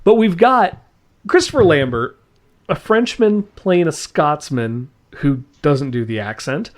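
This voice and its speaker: A man's voice: on the high side, expressive, a little slow. A man hosting a podcast in casual chat, enthusiastic and rambling, laughing often. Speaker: podcast host.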